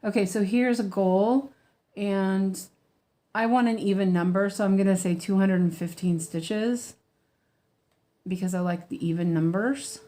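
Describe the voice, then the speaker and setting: Relaxed American woman, slow and rambling, chatting to viewers while she stitches. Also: mid-range voice. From United States. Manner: rambling, chatty, frequent ums and restarts, laughs easily. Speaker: weaving teacher. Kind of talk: casual stitch-along chat.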